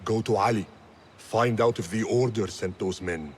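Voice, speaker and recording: An actor playing a firm, pragmatic male assassin leader in a video game, commanding and deliberate. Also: on the low side, slightly rough. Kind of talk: video game cutscene acting.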